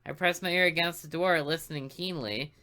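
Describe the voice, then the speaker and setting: Forceful woman reading a game aloud. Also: low-pitched, brisk. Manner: expressive reading with asides. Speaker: gaming video host. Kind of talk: reading a visual novel aloud.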